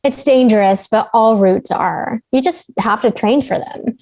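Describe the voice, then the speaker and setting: A woman's voice: on the high side, a little slow, darkish tone, dry recording, poor audio. A woman reading the part of a determined, level-headed veteran mountain climber in a script table read. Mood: matter-of-fact.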